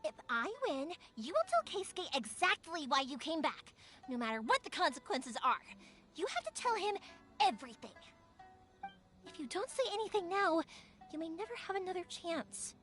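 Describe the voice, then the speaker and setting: A woman's voice: very high-pitched, big pitch swings, normal speed, fairly bright tone, faint music behind, exaggerated voice. A woman voicing a spirited, headstrong young fireworks maker in a video game, emphatic and impassioned. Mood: defiant, resolute. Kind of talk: English video game voice acting.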